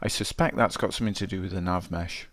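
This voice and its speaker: Male tutorial presenter, very low register, dry close sound, informal and thinking aloud. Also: fairly even intonation, quick pace, a bit bright, dry recording. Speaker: game development tutorial presenter. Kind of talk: casual screencast walkthrough, thinking aloud.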